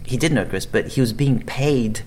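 A man's voice: medium pitch, normal speed, a little mellow, slight background noise. A man, an actor, chatting casually in an interview, rambling with many restarts and fillers. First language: English. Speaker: actor guest.

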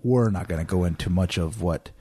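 A man in his thirties explaining reflectively in conversation, deliberate and halting, repeating phrases for emphasis. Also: deep voice, mellow, slightly rough, dry recording.

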